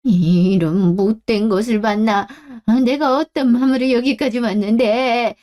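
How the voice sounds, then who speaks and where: A woman voicing a sharp-tongued older mother-in-law who later turns remorseful in a radio drama. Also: fairly high pitch, measured pace, natural voice. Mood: indignant. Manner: scolding, then remorseful and pleading.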